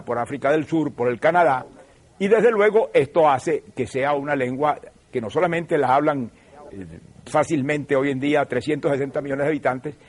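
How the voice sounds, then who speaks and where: An older man with a Venezuelan accent, hosting a TV program and lecturing in a measured, professorial, emphatic way. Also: fairly high pitch. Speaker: TV show host. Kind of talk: televised lecture.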